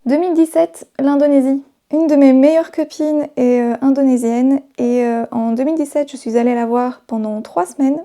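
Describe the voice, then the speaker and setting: A woman's voice: high-pitched, steady tone, moderate pace, hardly any pauses, mellow. A woman in her thirties, a sewing vlogger chatting casually to her viewers with frequent hesitations. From Belgium, raised in Brazil. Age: 30s. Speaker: sewing YouTuber.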